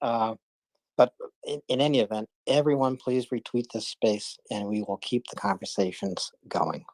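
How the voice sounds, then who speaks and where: A man's voice: mid-range voice, normal speed, darkish tone, no room sound, rough recording. A man hosting a live audio chat room, talking casually with many fillers and restarts.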